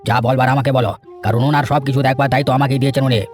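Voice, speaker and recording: Man acting officious cartoon caretaker, lowered voice, brusque, stern, quick, faint music. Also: steady tone, natural voice. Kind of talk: Bengali fairy tale cartoon acting.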